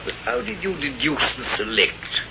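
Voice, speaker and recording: An actor playing a detective's mild, curious English companion in 1930s radio style. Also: male voice, fairly high pitch, big pitch swings, moderate pace, vocal fry. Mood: inquiring. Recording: muffled old radio recording, crowd-like background sound.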